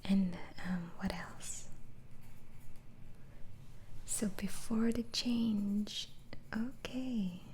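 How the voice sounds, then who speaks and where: A woman whispering in a breathy ASMR roleplay as a patient doctor, asking questions gently and hesitantly. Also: medium pitch, very slow, pauses often, a little hiss or hum, poor audio. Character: polite, patient female asylum doctor interviewing a patient.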